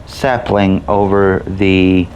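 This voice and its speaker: Man, low voice, casual gameplay commentary, gaming host, faint background noise. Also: steady tone, very slow, a little mellow.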